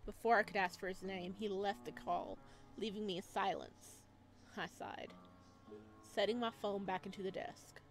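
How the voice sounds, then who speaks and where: A woman's voice: mid-range voice, fairly even intonation, normal speed, faint music behind. A woman hosting a gaming video, reading a visual novel aloud and adding casual, slangy asides. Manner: informal, slangy, reacts in asides.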